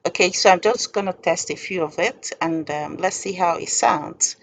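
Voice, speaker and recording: Female tutorial presenter, low register with gravelly fry, informal and deliberate instruction. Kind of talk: informal step-by-step screen tutorial.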